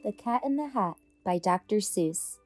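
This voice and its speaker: A woman's voice: mid-range voice, moderate pace, over loud music. A woman hosting a story time, speaking expressively and emphatically to young children. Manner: animated, emphatic, addressing children. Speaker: host reading children's stories.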